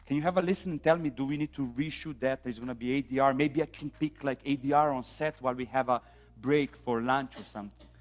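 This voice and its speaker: A middle-aged man with a Serbian accent lecturing a class on film sound, animated, acting out quotes from set, and checking in with "yeah?". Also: mid-range voice, highs cut off.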